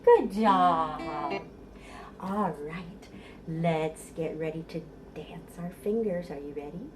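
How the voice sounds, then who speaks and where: A woman's voice: fairly low pitch, slow, distant mic, music in the background. A woman leading a storytime for babies and toddlers, encouraging and playful, giving tips and chanting sing-song rhymes. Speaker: children's librarian leading storytime.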